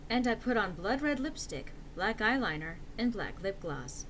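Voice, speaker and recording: Woman doing a dramatic fanfic reading, bright voice, really animated, faint background noise. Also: mid-range voice, moderate pace. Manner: theatrical, exaggerated emphasis. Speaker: narrator. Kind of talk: comedic dramatic reading of fan fiction.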